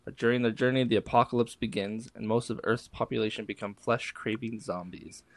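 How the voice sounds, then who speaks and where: A man's voice: mid-range voice, steady tone, fairly quick, no room sound. Casual man on a dry mic. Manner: casual, swears freely, trails off and restarts. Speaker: podcast co-host. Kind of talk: casual movie review chat.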